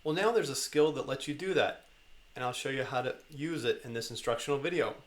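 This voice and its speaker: Male tutorial presenter, mid-pitched, for step-by-step instructional narration. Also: a little fast.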